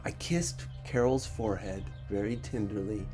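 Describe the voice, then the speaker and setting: A man reading a first-person story expressively, with a measured, dramatic delivery. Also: on the low side, mellow, soft background music. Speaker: audiobook narrator.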